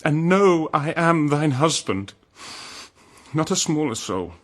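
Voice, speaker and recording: A man playing a betrayed, noble king in a classical verse drama, solemn and declamatory, with long pauses. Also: on the high side, big pitch swings. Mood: fervent hope. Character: betrayed noble old-world king, anguished, forgiving husband.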